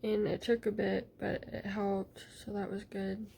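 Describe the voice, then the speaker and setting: A woman's voice: medium pitch, little pitch movement, a little slow, creaky, dark tone, slight background noise. A woman talking in a casual video diary, hesitant and rambling with many fillers, sometimes dropping to near-whispers. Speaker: vlogger.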